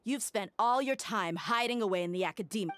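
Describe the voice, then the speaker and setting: An actress playing a cool, taunting female mercenary who speaks calmly and mockingly. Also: mid-range voice, brisk, background noise, close to an everyday voice. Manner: calm, mocking.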